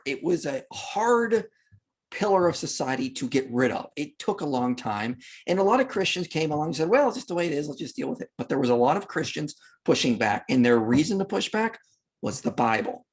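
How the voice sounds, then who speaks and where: A man's voice: on the high side, big pitch swings, brisk, breathy edge. A man speaking as a podcast guest, explaining history in an emphatic, conversational way and acting out imagined quotes. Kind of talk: animated explanatory discussion of history.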